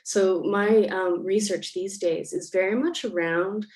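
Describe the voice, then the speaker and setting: A woman's voice: mid-range voice, fairly even intonation, measured pace, darkish tone. A Canadian woman, a panelist and arts researcher, speaking thoughtfully over a video call with many fillers. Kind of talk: panel discussion remarks. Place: home or office in Melbourne.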